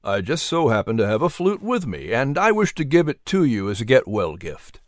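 A narrator voicing a kindly, calm male preacher who mentors gently in a measured, encouraging way. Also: medium pitch, no room sound, clean studio sound, lightly acted. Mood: kindly, reassuring.

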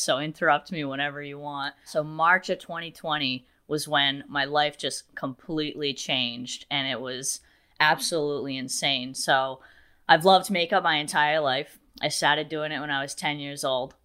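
A woman in her twenties with a deep voice, a makeup artist chatting as an interview guest, talkative and quick to laugh. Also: sharp tone, smooth. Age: 20s. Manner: chatty, laughs often, storytelling. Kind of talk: casual interview chat.

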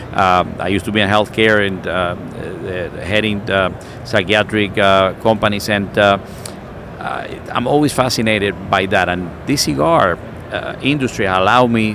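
A middle-aged man from Cuba speaks with a Cuban accent in a lowish voice, pausing often and reaching for words with "uh" and "you know." The talk is recorded outdoors, with clear street and traffic noise behind him. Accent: Cuban Spanish. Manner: searching for words with many fillers. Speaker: cigar maker. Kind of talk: casual chat.

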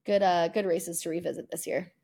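A woman with a somewhat low voice talks as a podcast co-host. Her delivery is casual and conversational, with emphatic, exaggerated phrasing and openly opinionated turns. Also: fairly even intonation, brisk, vocal fry, mellow, husky. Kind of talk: casual sports chat.

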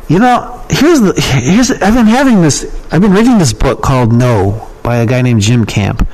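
A man's voice: fairly high pitch, normal speed, darkish tone, poor audio. A man giving casual, rambling and frank answers as an opinionated guest in an interview. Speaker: podcast guest, email marketer and copywriting trainer.

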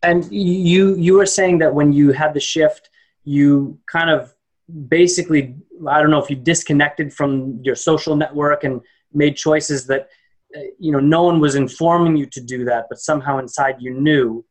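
A man's voice: a little high, steady tone, moderate pace, rough recording. A man hosting a podcast interview, asking thoughtful questions with hesitant restarts and repeated words. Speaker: podcast host.

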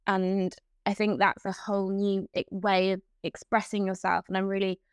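Young woman, even and level delivery, dry close sound; chatty interview guest. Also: mid-range voice, moderate pace, smooth, no echo. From Hong Kong. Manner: chatty, with frequent fillers and restarts. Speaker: guest, events professional. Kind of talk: casual interview.

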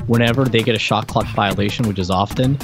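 A man co-hosting a casual game review podcast, explaining trivia in a halting, conversational way. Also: fairly low pitch, creaky, over music. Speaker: podcast co-host.

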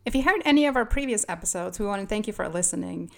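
A woman's voice: mid-range voice, animated, quick pace, creaky, a little mellow. A woman co-hosting a podcast, asking rambling, probing questions. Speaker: podcast co-host. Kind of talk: podcast interview.

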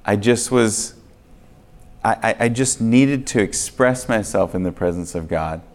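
A man preaching in a church, earnest and swinging between quiet passages and emphatic ones. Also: on the low side, measured pace. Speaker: pastor. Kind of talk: sermon. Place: church sanctuary.

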